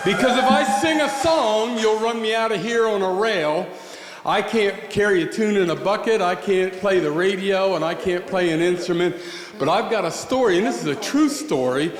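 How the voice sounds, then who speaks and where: A man from southeastern Pennsylvania tells a story on a coffeehouse stage, folksy and joking with a deadpan touch. His voice sits high. The sound rings through a roomy space with a long reverb tail. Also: moderate pace, crowd noise. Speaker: storyteller performer. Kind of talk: spoken storytelling. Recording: echoey room with long reverb.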